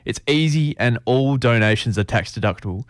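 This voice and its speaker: Smooth male radio promo announcer. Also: medium pitch, a little fast, no room sound, studio quality. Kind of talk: scripted appeal announcement.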